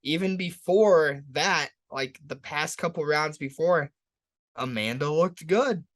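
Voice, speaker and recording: A man with a somewhat high voice co-hosts a casual sports podcast. He talks like a hyped fan, hammering key words by repeating them as he previews and breaks down fights. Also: takes it slowly, a bit bright. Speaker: podcast co-host.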